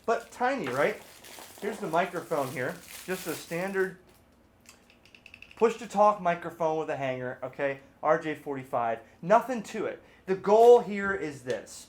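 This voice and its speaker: A man hosting a casual, off-the-cuff product review, chatty and informal, with animated, emphatic asides. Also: a little high, measured pace. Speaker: video host, radio hobbyist reviewer.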